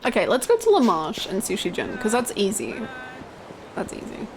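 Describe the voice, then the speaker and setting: A woman in her twenties chatting casually as she livestreams a video game, with an expressive, giggly, exclamatory way of talking. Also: mid-range voice, normal speed, vocal fry, background noise. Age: 20s. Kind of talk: casual livestream chat over gameplay, reading out comments. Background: video game music.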